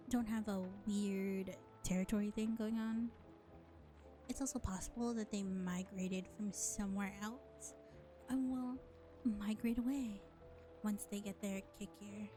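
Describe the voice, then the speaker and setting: A woman playing a sly, self-serving vampire bar owner in an audio roleplay, speaking in a sardonic, condescending drawl with deliberate pauses. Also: medium pitch, mellow, faint music behind, natural voice. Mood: serious, pondering. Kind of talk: scripted audio roleplay acting addressed to listener.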